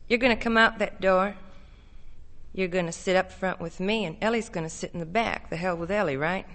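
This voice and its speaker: Woman voicing a menacing, coaxing man, bright voice. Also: fairly low pitch, unhurried. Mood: coaxing, possessive. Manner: coaxing, insistent, folksy drawling grammar. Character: menacing smooth-talking man, coaxing, possessive, predatory.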